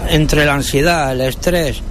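A man's voice: on the high side, fairly even intonation. Hesitant man with a Madrid accent. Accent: Madrid Spanish. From Murcia, Spain. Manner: hesitant, halting. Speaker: interviewee. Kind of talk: street interview. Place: outdoors on a city street. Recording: street noise in the background.

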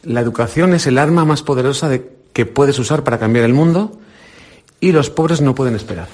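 Husky middle-aged Spanish presenter, upbeat quizmaster with a children's group. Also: male voice, mid-range voice, a little mellow. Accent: Spain Spanish. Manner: encouraging, quizzing with quick questions. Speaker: radio presenter. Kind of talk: quiz with children on air. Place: indoor visit with a children's group.

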